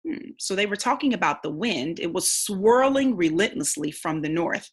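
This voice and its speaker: A woman reading a storybook aloud like a teacher, pausing to think aloud and explain. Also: on the low side, lively intonation, husky.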